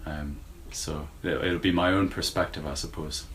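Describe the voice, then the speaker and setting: A man in his forties from the United States with a slightly Scottish-tinged American accent, answering interview questions thoughtfully and with many pauses. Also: extremely low pitch, fairly even intonation, a bit bright, slight background noise, poor audio. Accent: American with Scottish influence. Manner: thoughtful, hesitant with many fillers. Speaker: university lecturer.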